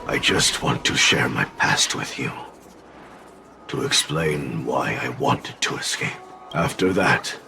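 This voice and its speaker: Very deep, gravelly weary sword spirit. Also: male voice, over music, exaggerated voice. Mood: weary, resigned. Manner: slow, grave, resigned. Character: weary, solemn old spirit of a cursed sword. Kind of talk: video game voice acting.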